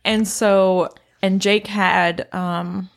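A woman with an Appalachian accent and a low voice, co-hosting a casual podcast chat and often chiming in with short agreements. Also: flat delivery, extremely slow. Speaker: podcast co-host.

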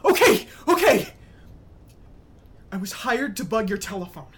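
A woman's voice: on the high side, sing-song, measured pace, slightly rough, heavily acted. A woman playing a defiant, frightened young burglar in an audio drama. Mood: panicked, pleading.

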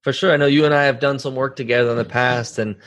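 A man's voice: medium pitch, fairly even intonation, fast talker, fairly bright tone. A man speaking as a podcast host, interviewing a guest in a conversational style.

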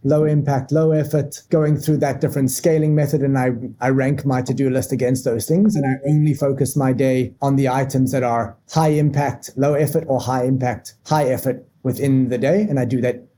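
A man from Africa, talking through work habits as a podcast guest in a measured, methodical way. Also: medium pitch, flat delivery, dark tone, slight background noise. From South Africa. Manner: measured and methodical, lists points step by step. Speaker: marketing leader, podcast guest. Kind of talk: structured business talk.

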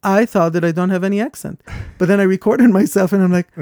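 A man with a foreign accent co-hosting a podcast, talking in an earnest and reflective way that turns animated when he tells a story. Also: high-pitched, quick pace, dry recording, studio quality. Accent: foreign accent, origin unstated. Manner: earnest, thoughtful, animated when telling stories. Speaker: podcast co-host. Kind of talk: reflective conversation.

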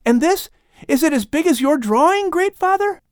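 A man voicing an eager, excitable young boy in a dramatized audiobook story. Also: very high voice, normal speed, no room sound, clean studio sound, voice pushed up. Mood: awed curiosity. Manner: pleading, breathless enthusiasm.

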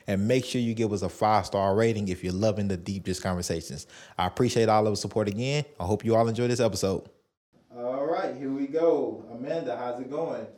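A man's voice: fairly low pitch, a little mellow. A man hosting his own podcast, talking loosely and enthusiastically with lots of fillers. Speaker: podcast host. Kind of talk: casual interview hosting. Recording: roomy echo.

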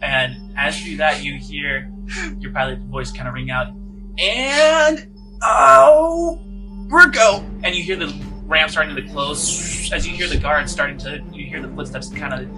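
A man's voice: fairly high pitch, fairly even intonation, moderate pace, pushed and bright, faint music behind, rough recording. A man running a tabletop role-playing game as game master, improvising descriptive narration of the scene.

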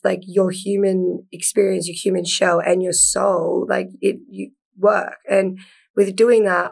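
Chatty young woman with a gravelly voice. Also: mid-range voice, darkish tone. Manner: chatty, lots of "like", laughs easily. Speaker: actor, guest. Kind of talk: casual interview.

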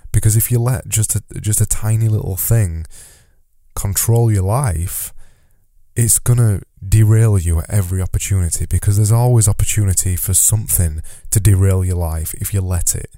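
A man's voice: lowish voice, normal speed, warm tone, dry recording, studio quality. A man with a cold and a nasal, stuffy voice, hosting a solo podcast in a rambling, self-deprecating but motivational way. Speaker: podcast host.

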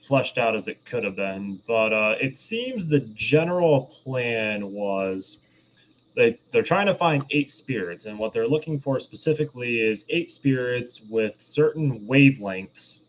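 A male podcast co-host explaining things in casual film discussion, rephrasing haltingly as he goes. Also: mid-range voice, lively intonation. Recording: dull lo-fi mic, faint noise.

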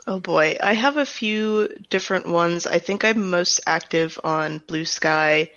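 A woman's voice: fairly low pitch, unhurried, fairly bright tone, no room sound. A woman giving casual, explanatory answers as an interview guest. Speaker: interview guest, audio drama director. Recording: dull, band-limited remote recording.

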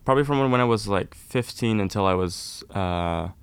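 A young man from Norway, a folk musician, chatting casually and warmly in an interview, with lots of "kind of" and "like". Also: fairly low pitch, brisk, smooth, no room sound. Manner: relaxed, friendly, uses fillers like "kind of, like".

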